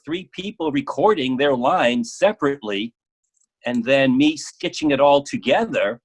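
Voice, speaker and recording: A man speaking casually as an interview guest about his work, often halting and repeating words as he goes. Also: mid-range voice, a bit bright, breathy edge.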